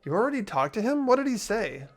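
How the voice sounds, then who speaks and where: A man's voice: high-pitched, sing-song, fast, faint music behind. A man voicing an earnest, anxious teenage boy investigator, narrating and questioning in a video game readthrough. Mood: suspicious, puzzled. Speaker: host of playthrough. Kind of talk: amateur game voice acting.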